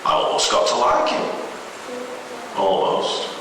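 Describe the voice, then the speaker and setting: A man narrating a first-person horror story in a deliberate, theatrical storyteller's manner. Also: crowd noise. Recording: echoey, noisy hall, far from mic.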